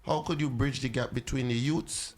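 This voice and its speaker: A man from Trinidad with a Trinidadian accent, a musician guest in casual interview chat, telling animated stories with Caribbean slang and easy laughs. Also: medium pitch, normal speed.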